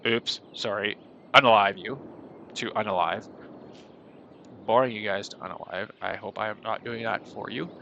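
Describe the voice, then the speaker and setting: Male streamer, stuffy and nasal from a cold, gravelly tone, chatty rambling delivery, noticeable background noise. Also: on the low side, moderate pace, bright, forceful tone, muffled recording. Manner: rambling, chatty, self-deprecating. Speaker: gaming streamer. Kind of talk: casual gameplay commentary.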